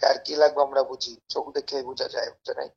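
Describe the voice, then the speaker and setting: Fast-talking Bangladeshi man, gravelly and husky. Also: medium pitch, level tone, dull audio. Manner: persuasive, pushy, repetitive. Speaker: caller pitching a service as a tout. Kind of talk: informal phone sales pitch.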